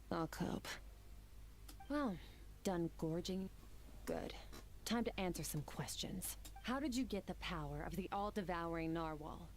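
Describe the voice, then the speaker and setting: A composed woman warrior speaks with a low, even voice, as an actress plays her. Her delivery is dry and commanding, never hurried. A faint hiss sits under the game audio. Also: natural voice. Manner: calm, measured, dry and commanding. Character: cool, composed young woman warrior, aloof. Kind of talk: video game voice acting.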